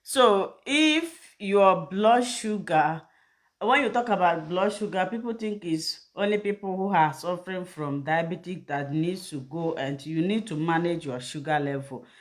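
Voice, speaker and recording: A woman's voice: fairly low pitch, a little slow. A middle-aged woman from Nigeria with a Nigerian accent, whose first language is Igbo, giving health advice in a loose, repetitive live chat and speaking straight to her viewers. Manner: rambling, repeats phrases, direct appeals to viewers. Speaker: health channel host. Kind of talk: casual live video talk.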